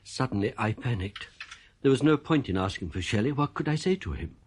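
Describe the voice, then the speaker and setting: A man's voice: mid-range voice, lively intonation, fairly quick, slightly rough. An actor playing an uneasy, suspicious composer, confiding his own story in a radio thriller. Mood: troubled, confiding. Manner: troubled, confiding first-person storytelling. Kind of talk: radio play narration and dialogue.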